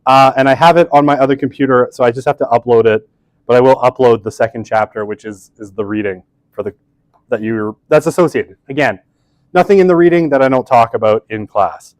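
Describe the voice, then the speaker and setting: A man's voice: mid-range voice, fairly quick, no echo. A man in his thirties giving a casual university lecture in an old lecture room, talking into a podium microphone that barely picks him up, chatty and self-deprecating, with many fillers. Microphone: podium microphone, weak pickup.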